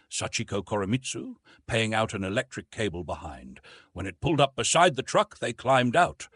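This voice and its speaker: A man narrating a classic science fiction story with expressive, measured delivery. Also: medium pitch, breathy edge, dry recording, clean studio sound. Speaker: audiobook narrator.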